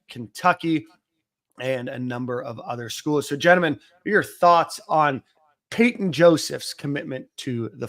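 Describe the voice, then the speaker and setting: A man's voice: a little high, fairly bright tone. A man hosting a sports podcast, talking casually with many uhs and some self-mockery. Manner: filler-heavy, uh-laden, self-mocking. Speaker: podcast host.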